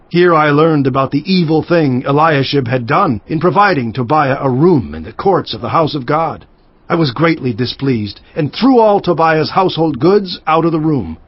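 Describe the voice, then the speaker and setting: A stern, devout governor of ancient times, acted by a man for a dramatized scripture audiobook. His voice sits a little high and is somewhat husky, with solemn, measured, emphatic phrasing. The recording is slightly dull, with music behind him. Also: no room sound. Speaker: audiobook narrator. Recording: slightly muffled, music underneath. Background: background music.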